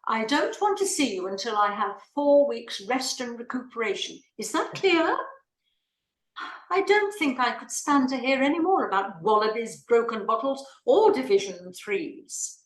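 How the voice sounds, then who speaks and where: A woman's voice: on the high side, lively intonation, normal speed, lightly acted. A woman playing an imperious, irritable wealthy lady in a play reading, bossy and haughty as she gives orders and complains. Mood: imperious, fed up. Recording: roomy, echoing room.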